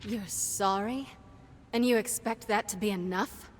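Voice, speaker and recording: An actress playing a wry, strong-willed adventurer in a game cutscene, with a pointed way of talking. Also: female voice, mid-range voice, a little slow, natural voice. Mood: angry, incredulous. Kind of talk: dramatic game cutscene acting. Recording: reverberant, faint music behind.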